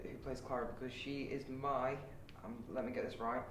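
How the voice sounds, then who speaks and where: A man's voice: medium pitch, level tone, a little fast. A man auditioning, chatting casually as he answers interview questions. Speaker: audition candidate. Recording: very echoey room, distant mic, clear noise.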